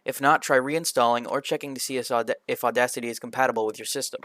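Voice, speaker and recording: A man presenting a scripted software tutorial in an instructional, step-by-step manner, occasionally stumbling over a word. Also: mid-range voice, fairly even intonation, quick pace, no echo. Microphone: computer microphone in a quiet home room, voice-over.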